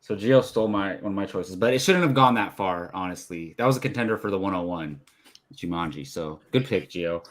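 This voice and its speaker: A man with a fairly low voice talks casually as a panelist on a movie show. The delivery is conversational and informal. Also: lively intonation, fairly quick. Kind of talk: casual group chat.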